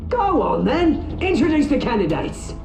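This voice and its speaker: A man's voice: extremely high pitch, sing-song, measured pace, vocal fry, full character voice. An actor playing a gloating, menacing criminal showman in a video game, theatrical, mock-polite and taunting. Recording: echoey, music behind.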